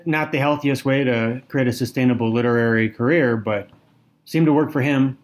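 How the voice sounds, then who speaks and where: A man's voice: medium pitch, moderate pace. Thoughtful man co-hosting a book podcast. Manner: thoughtful, reads quotes aloud. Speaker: podcast co-host. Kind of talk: casual literary discussion.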